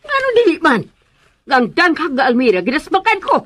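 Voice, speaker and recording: A woman in a radio drama playing a short-tempered, domineering lady of the house with a sharp, scolding manner. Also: high voice, wildly swooping pitch, fairly quick. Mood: grumbling.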